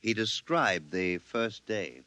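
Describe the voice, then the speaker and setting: Calm male doctor, 1950s radio: deliberate, bright-edged, dry-sounding, clinical. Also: lowish voice, no room sound, exaggerated voice. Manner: measured, deliberate.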